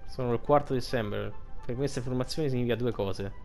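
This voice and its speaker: A man with a mid-pitched voice narrates a game playthrough in a casual, unpolished way. He reads dialogue aloud and thinks out loud haltingly, with faint game music behind him. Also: vocal fry. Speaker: video game streamer.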